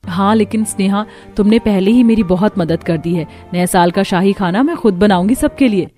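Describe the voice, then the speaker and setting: A woman voicing a gentle, hardworking elder daughter-in-law in a cartoon story, earnest and soft-spoken. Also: mid-range voice, moderate pace, faint music behind, natural voice. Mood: grateful. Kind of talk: Hindi cartoon story dialogue.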